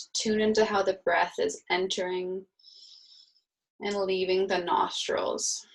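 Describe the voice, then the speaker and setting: A woman leading a guided meditation as an instructor, speaking soothingly and informally in gentle, unhurried instructions. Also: medium pitch, vocal fry, poor audio.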